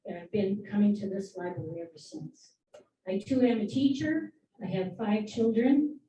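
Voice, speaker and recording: A middle-aged woman gives an earnest, steady public comment in a mellow, soft-edged voice. She stands some way from the microphone, and the room answers with a clear echo under a faint hiss. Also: mid-range voice, lo-fi sound. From Michigan. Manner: earnest, steady advocacy speech. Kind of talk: prepared public comment at a meeting. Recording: echoey room, far from the mic, faint noise.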